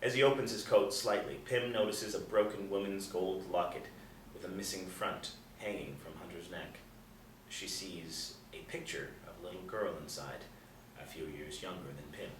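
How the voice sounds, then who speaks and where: A man's voice: low voice, steady tone, moderate pace. A man reading stage directions as scripted narration at a table reading. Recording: roomy, distant mic, faint noise.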